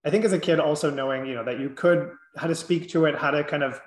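A man's voice: on the high side, fast, roomy sound. A man in his thirties from Southern California, an author and clinician answering interview questions reflectively, often saying "you know".

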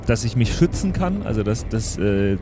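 A man's voice: fairly low pitch, big pitch swings, normal speed, music in the background. A man playing a tabletop game in casual improvised chat, speaking hesitantly and often checking what he may do.

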